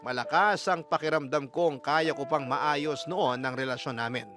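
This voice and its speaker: A man's voice: a little high, moderate pace. A Filipino man giving a sorrowful, dramatic first-person scripted narration as a radio storyteller. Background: music.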